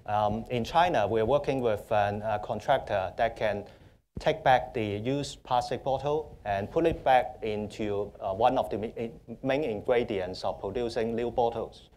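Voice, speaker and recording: A young man from Hong Kong with a Hong Kong accent, speaking as a panelist in a conference hall, halting and full of fillers. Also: mid-range voice, darkish tone. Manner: halting, many fillers and restarts. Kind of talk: panel discussion.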